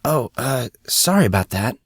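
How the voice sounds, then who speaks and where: A man's voice: medium pitch, sing-song, moderate pace, breathy edge, no room sound, studio quality. A man voicing an awkward, earnest fourteen-year-old boy with an eager, hesitant manner. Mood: embarrassed.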